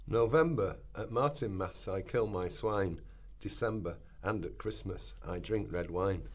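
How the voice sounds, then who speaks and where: A fervent rebel preacher of the Middle Ages, acted by a man with a fairly low voice. He exhorts the common people in declaimed sermon and rhyme, in the manner of a radio play. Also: unhurried. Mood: reciting.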